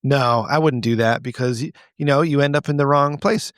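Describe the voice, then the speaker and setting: A man's voice: medium pitch, moderate pace, fairly bright tone, clear, resonant tone, dry recording, clean studio sound. A man co-hosting a comedy podcast with casual, blunt, crude wisecracks. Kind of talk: casual group banter.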